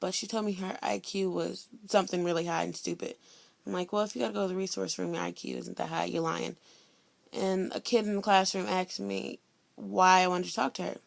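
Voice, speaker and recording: A teenage girl from Indiana telling a story in a blunt, sassy, chatty way, loud-voiced and full of slang. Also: lowish voice, steady tone, a little fast, gravelly, no echo. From Indiana, USA. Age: teens. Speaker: vlogger. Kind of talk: casual storytelling vlog.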